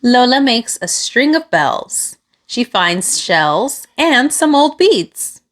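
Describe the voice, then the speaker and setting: A woman reading a children's picture book aloud, with expressive, deliberate phrasing aimed at young listeners. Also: on the high side. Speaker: children's storybook reader.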